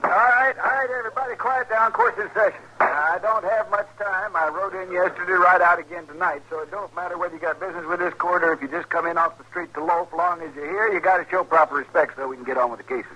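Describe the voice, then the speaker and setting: An actor playing a crusty, irritable frontier circuit judge, folksy and blustering, with an American accent in 1950s radio western style. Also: male voice, high-pitched, fast talker, few pauses, pushed and bright. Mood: gruff, impatient. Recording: noisy old radio drama recording.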